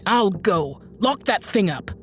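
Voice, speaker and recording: An actress playing a composed, sharp-tongued woman inventor in an audio drama, speaking in a measured, arch and deliberate way. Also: mid-range voice, lively intonation, faint music behind. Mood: scolding, exasperated. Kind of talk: audio drama acting and first-person narration.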